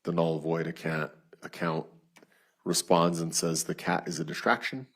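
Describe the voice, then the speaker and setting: Man, very low pitch, monotone, procedural detective witness, reading aloud in court, husky. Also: normal speed. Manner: flat, procedural, matter-of-fact. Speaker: lead detective testifying as witness.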